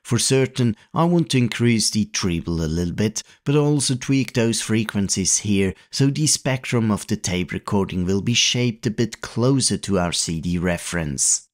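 A man with a somewhat low voice talks through a technical walkthrough in an even, methodical way. He sounds like a hobby channel host reading a prepared voice-over. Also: moderate pace, hardly any pauses. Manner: calm, methodical explaining. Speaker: retro computing video host. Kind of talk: scripted tutorial voice-over.

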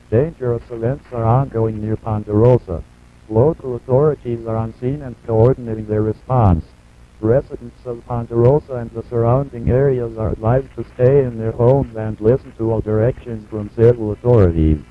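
A man's voice playing an impersonal automated emergency announcer, reading warnings in a flat, formal, measured way. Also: mid-range voice, close to an everyday voice. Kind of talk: scripted emergency broadcast warning. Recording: muffled lo-fi VHS broadcast audio.

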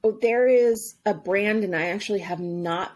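A woman co-hosting a podcast in relaxed, conversational chat. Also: medium pitch, normal speed. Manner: relaxed, conversational, lots of fillers. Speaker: podcast co-host. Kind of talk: casual chat.

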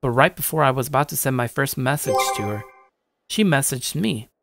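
A man's voice: mid-range voice, lively intonation, fairly quick, creaky, fairly bright tone, slight background noise. A young man playing a shy but slightly cocky gamer who narrates his day in a casual, musing way, like an anime-style audio drama. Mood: musing, upbeat. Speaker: narrator and lead character. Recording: dry studio voice over music. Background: music.

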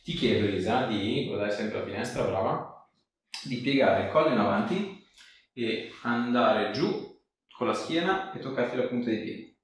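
Low-voiced chiropractor man, echoey room. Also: animated, normal speed. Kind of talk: hands-on exam instructions and explanations to a patient. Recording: echoey room, distant mic, background noise.

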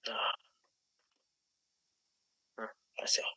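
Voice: extremely slow, bright, forceful tone, dry recording. A terse, hesitant speaker improvising casually in a video game roleplay, recorded on a lo-fi mic.

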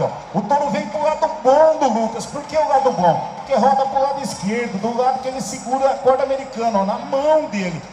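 A high-voiced man explains bull riding technique in the rodeo commentary. His voice rings out through a big arena sound system with a long reverb tail. Music plays faintly behind him. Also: a little mellow. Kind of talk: play-by-play replay commentary.

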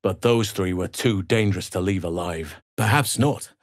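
An actor playing a big, calm, earnest male elf druid in a fantasy video game, speaking in a measured, gentle, solemn way. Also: low-pitched, dry recording, studio quality. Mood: firm.

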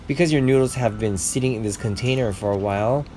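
A man's voice: mid-range voice, quick pace, darkish tone, slight background noise. A man in his forties narrating a casual vlog, explaining food and his neighborhood to viewers in a chatty way. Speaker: vlogger.

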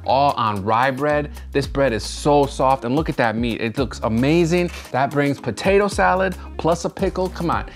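A man in his thirties hosting a food vlog, describing each dish in an upbeat way on a lapel mic. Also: mid-range voice. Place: hotel room and restaurant dining room. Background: background music.

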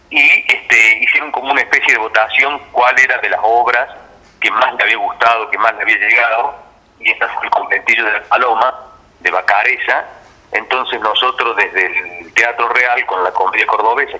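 Argentine male interview guest, lowish pitch, very forceful tone, explaining at length over a phone line. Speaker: interview guest, theatre director. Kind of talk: spontaneous explanatory interview talk.

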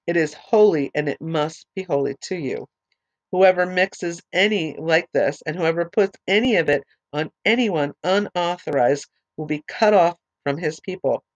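Woman doing a bible study, voice going out from allergies, reads slow and spells names out. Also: fairly low pitch, lo-fi sound. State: losing her voice from allergies. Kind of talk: reading scripture aloud and explaining.

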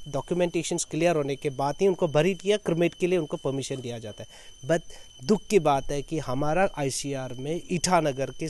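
A man's voice: fairly high pitch, darkish tone, a little hiss or hum. A man in his thirties with a Northeast Indian accent from Arunachal, giving an earnest press statement outdoors and repeating phrases in lists for emphasis. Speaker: foundation chairman, activist. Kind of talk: press statement, spoken off the cuff. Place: outdoors in an open clearing with trees. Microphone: handheld reporters' microphones held at chest height.

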